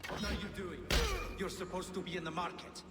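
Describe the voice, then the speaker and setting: A man's voice: high voice, fairly even intonation, brisk, over loud music, close to an everyday voice. A man playing an exasperated, scolding gang enforcer in a video game. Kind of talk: video game character acting.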